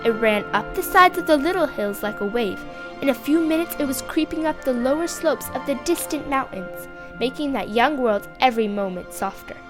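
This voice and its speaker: A young female narrator reading a scripted fantasy story aloud with expressive storybook delivery. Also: high voice, moderate pace, music in the background.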